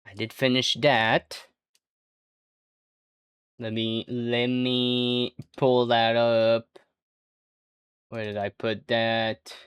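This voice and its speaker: Slow-talking streamer, native French speaker. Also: little pitch movement, smooth, no echo, clean studio sound. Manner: rambling, swearing, jokey, prone to sudden pitch jumps. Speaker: livestreamer. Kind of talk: casual livestream chat.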